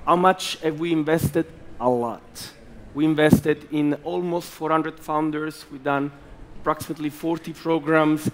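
A man with an Italian accent speaks slowly and deliberately from a conference stage, his voice sitting a little high. He leans on his words with emphatic, animated bursts. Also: a little hiss or hum. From Italy. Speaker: investor and stage host. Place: large conference hall with audience.

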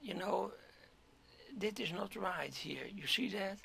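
A hoarse man walks through a software tutorial at a slow, halting pace. His voice is very gravelly yet bright-edged, and he keeps clearing his throat and slipping into whispers. He speaks into a desktop mic at his computer. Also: high-pitched. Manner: halting, step-by-step, drops to whispers. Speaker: 3D modeling tutor. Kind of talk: screen-recorded software tutorial.

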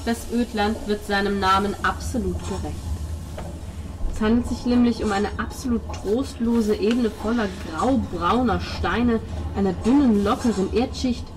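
A woman's voice: medium pitch, moderate pace, noisy background. A woman improvising narration as game master of a tabletop role-playing game.

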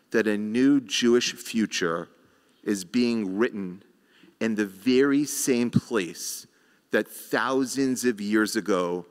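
Middle-aged male rabbi, sermon at a lectern, unhurried with deliberate pauses, long sanctuary reverb. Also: on the low side. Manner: slow, measured delivery with rhetorical pauses. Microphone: lectern microphone.

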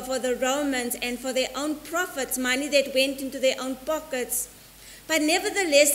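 A middle-aged woman priest from South Africa delivering a measured, deliberate sermon from the altar, slowing down for quotations. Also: high-pitched, a bit bright. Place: church sanctuary in front of an altar. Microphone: stand mic at the altar, filmed by a fixed camera. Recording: long church reverb with faint hiss.

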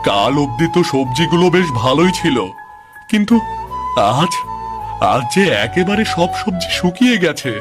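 A man's voice: fairly high pitch, expressive, moderate pace, over music, close to an everyday voice. A man playing a hapless, henpecked poor village farmer in a cartoon, with a plaintive, folksy way of talking. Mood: lamenting. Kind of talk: cartoon voice acting.

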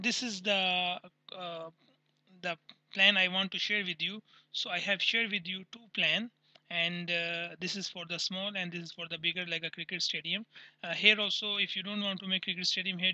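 Guy with a high sharp voice showing cad plans, lots of uh's, dry close mic. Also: no echo, lo-fi sound. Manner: halting non-native English with many uh fillers, pointing at drawings. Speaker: channel host, construction designer. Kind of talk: screen-share walkthrough of CAD plans.

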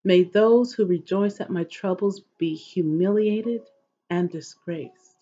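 A middle-aged woman reading scripture aloud in a measured, solemn, devotional way, with a husky voice. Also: medium pitch, warm tone. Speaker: host of an inspirational reading series. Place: living room at home, indoors. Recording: dull, lo-fi webcam audio.

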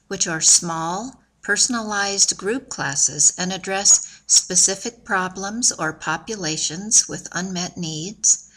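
A woman narrating a scripted promotional video in an even, measured, informative way. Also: fairly low pitch, rough recording.

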